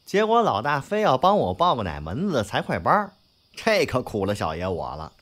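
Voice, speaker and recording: Audio drama: man as cocky young male gangster, loyal at heart, slangy, very lively intonation. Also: mid-range voice, brisk. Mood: cocky, griping. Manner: slangy, swearing, swaggering. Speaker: voice actor in a fan audio drama. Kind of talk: Chinese audio drama acting.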